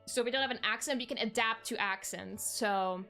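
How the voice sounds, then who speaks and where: A woman's voice: a little high, moderate pace, sharp tone, faint music behind. A young woman from Germany, chatting casually with her stream viewers, rambling with frequent ums and self-corrections.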